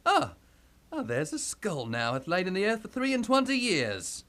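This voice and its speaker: A man's voice: sits high, wildly swooping pitch, a little slow, fairly bright tone, heavily acted. A man playing a cheerful, cheeky gravedigger in a puppet-show Shakespeare, with a chuckling, singsong, rustic manner. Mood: cheeky, amused.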